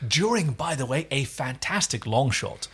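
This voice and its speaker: A man hosting a podcast, recapping a TV episode in casual, teasing and animated chat. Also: mid-range voice, normal speed, husky. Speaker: podcast host.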